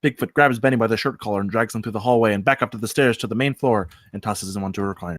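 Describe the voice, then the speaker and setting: A man's voice: lowish voice, very fast, dry recording, clean studio sound. A man reading stage directions as scripted narration at a comedy screenplay table read.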